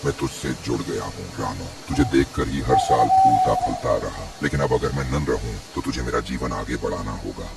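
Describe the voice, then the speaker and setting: A man voicing an ancient, solemn tree spirit in a cartoon, a sorrowful and gentle fatherly guardian with a gravelly voice. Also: extremely low pitch, wildly swooping pitch, moderate pace, hardly any pauses, far from the mic, full character voice. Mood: resigned, tender. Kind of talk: cartoon voice acting.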